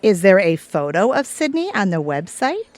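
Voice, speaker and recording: Earnest, expressive woman, played by an actress. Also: medium pitch, normal speed, no echo, lightly acted. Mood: determined. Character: earnest, sweet bookish woman turned schemer. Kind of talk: comic audio theatre acting.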